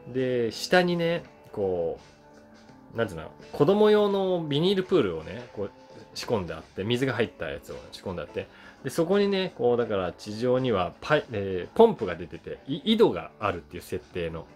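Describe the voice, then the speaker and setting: A man in his forties casually reminiscing to camera in an animated, conversational way, acting things out with sound words. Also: medium pitch, brisk. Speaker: voice actor and YouTuber telling memories. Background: soft guitar music.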